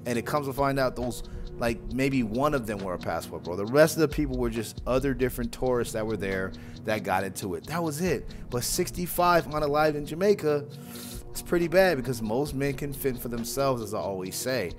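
A man's voice: mid-range voice, lively intonation, a little fast, faint music behind. Laid-back young man mocking on mic. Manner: casual and mocking, says "bro" often. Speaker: reaction channel host. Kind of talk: casual reaction commentary. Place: indoors, small room at home. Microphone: wired earphone mic.

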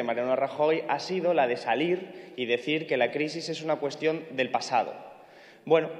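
A young man speaking at a lectern in a large parliament chamber, arguing forcefully and stacking lists for emphasis into a lectern microphone. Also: fairly high pitch. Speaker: member of parliament. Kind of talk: parliamentary speech. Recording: echoey chamber with long reverb.

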